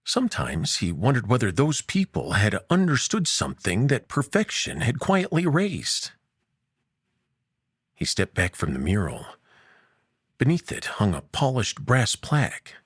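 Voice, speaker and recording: A man hosting a story show and narrating a science fiction tale, expressive, dropping to hushed low lines and lifting for emphasis. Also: unhurried, husky, dry recording, clean studio sound. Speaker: host and narrator.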